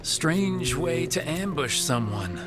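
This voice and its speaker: An actor playing a cool-headed, wry hero in a video game, speaking in a direct, dry way. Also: male voice, on the high side, sing-song, slow pace, over loud music. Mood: wry, challenging. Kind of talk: dramatic video game acting.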